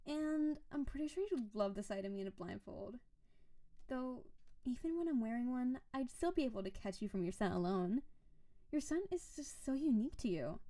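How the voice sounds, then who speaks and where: Casting: woman to play a yandere snow-spirit wife for intimate roleplay audio; high, soft-toned voice, sweet and clingy with quiet menace. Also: natural voice. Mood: playful, predatory. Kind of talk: intimate scripted roleplay audio addressed to the listener.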